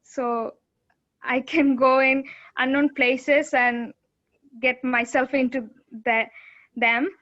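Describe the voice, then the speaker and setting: Young woman, slow halting speech, high voice, from Bangladesh, video call. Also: steady tone, fairly bright tone, dull audio. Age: 20s. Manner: hesitant, many fillers and restarts. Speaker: student participant.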